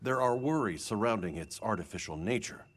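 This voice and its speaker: A man's voice: fairly low pitch, animated, a little fast, a bit bright. An actor playing an earnest, deferential aide in a fantasy game, speaking formally and precisely as he reports to his superior. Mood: earnest, concerned. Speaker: subordinate officer character. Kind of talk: cinematic fantasy game acting.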